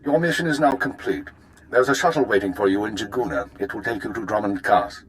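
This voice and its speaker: A man acts a sci-fi game intelligence chief. His voice is low and authoritative, with a formal, measured delivery. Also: a bit bright, slight background noise, natural voice, rough recording. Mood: businesslike. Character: composed, authoritative male imperial spymaster.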